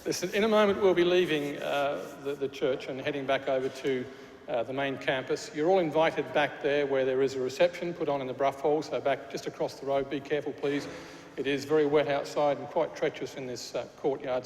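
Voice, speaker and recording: A man's voice: mid-range voice, fairly even intonation, fairly quick, darkish tone. A Scottish man with a Glasgow accent addresses graduates from a lectern, in a chatty, informal way, stumbling and restarting as he goes. His voice rings around a large church with a long, echoing reverb. A faint hiss sits under the recording. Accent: Glaswegian Scottish. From Scotland. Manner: chatty with frequent restarts and fillers, jokey asides. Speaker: university official addressing graduates. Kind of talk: ceremony speech. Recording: echoey hall with faint noise.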